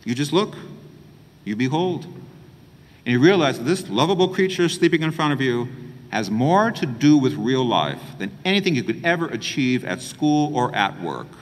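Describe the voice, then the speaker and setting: Middle-aged male priest, gentle expressive homilist, very animated pitch, recorded with long church reverb. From New Mexico, USA. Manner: gentle, expressive preaching with pauses and hand gestures. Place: small chapel.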